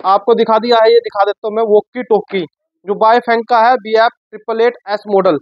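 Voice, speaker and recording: A man's voice: high voice, steady tone, a little fast. A man presents products in a casual shop-video style. He explains informally, often repeating his phrases. The sound is slightly muffled and lo-fi, with little room echo. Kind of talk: casual product unboxing and sales pitch. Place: shop office with sofa, indoors.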